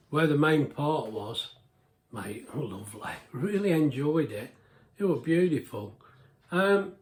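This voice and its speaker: Chatty older man, bit high. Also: a little mellow. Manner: chatty, rambling, self-deprecating. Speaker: home cooking vlogger. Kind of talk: casual cooking commentary. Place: home kitchen.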